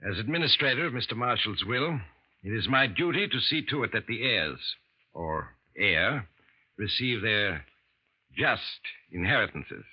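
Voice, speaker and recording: An actor playing a suspicious older estate lawyer in a 1940s radio drama, formal and probing, on a dull old broadcast recording. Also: male voice, on the low side, expressive, measured pace, breathy edge.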